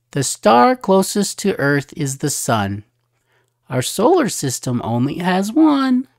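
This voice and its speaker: A storybook narrator with a mid-pitched voice reads aloud for children. The delivery is slow and measured, with each phrase set out clearly. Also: darkish tone. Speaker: storybook reader.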